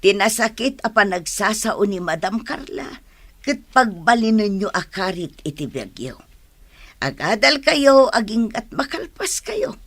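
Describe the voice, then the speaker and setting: A woman's voice: medium pitch, very animated, measured pace, no room sound. An actress playing a caring, encouraging older village mother in a radio drama. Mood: earnest encouragement. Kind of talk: Ilocano radio drama acting.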